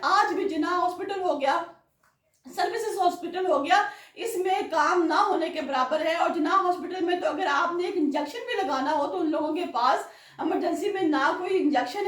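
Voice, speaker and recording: High-voiced woman in an echoey room. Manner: earnest, rising to indignant rhetorical questions. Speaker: commentator. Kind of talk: political commentary monologue. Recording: roomy echo from a distance.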